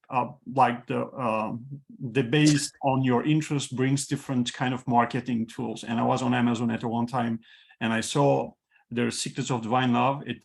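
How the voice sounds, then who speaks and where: Guy with turkish as first language, gravelly voice, lots of uhs and restarts, zoom call. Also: mid-range voice. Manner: halting, many fillers and restarts. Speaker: host, institute president. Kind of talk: welcoming talk on a video call. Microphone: video call. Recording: video call audio.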